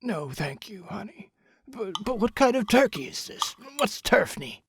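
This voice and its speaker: A woman's voice: medium pitch, big pitch swings, measured pace, a little hiss or hum. A woman playing a cranky, set-in-his-ways old grandfather in a comedy sketch. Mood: grumpy.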